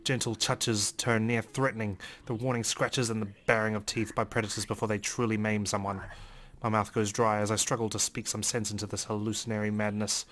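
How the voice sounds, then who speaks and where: Guy with a lowish voice reading visual novel narration out loud, dramatic storyteller style. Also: little pitch movement, fairly quick. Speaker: let's player.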